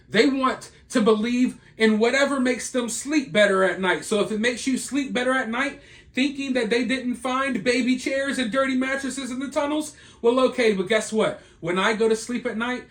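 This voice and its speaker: A man with a high voice that has gone hoarse from overuse, sounding worn out. He rants with emphatic indignation, delivering his commentary straight to the camera on his own. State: tired. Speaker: online video commentator. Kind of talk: solo commentary vlog.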